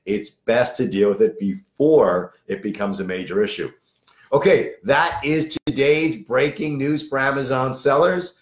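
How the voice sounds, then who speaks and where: A man in his fifties with a hoarse voice, a lawyer giving a direct news briefing and repeating key points for emphasis. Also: mid-range voice, a little slow, echoey room. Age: 50s. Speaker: lawyer for online sellers.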